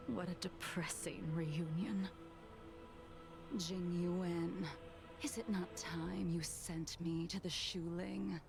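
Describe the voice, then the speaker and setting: Solemn cold game swordmistress, slow n breathy w creaky edge, music behind. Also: female voice, on the low side, flat delivery. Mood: bitter, weary. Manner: slow, grave, measured delivery. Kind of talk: dramatic video game voice acting. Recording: game audio under loud music.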